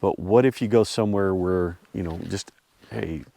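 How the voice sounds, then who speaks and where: A man's voice: low voice, normal speed, gravelly, mellow, dry recording. Congested man talking outdoors. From US Pacific Northwest. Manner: rambling, many fillers and restarts. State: congested and a little hoarse from a cold. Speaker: vlog host reviewing his truck. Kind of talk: casual talk to camera. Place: outdoors at a snowy off-road area.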